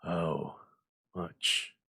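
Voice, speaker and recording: A man voicing a slow-witted, grieving old husband with an earnest, bewildered manner, in a dramatic story reading. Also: sharp tone, dry recording. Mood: dazed grief. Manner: dazed, tender, earnest.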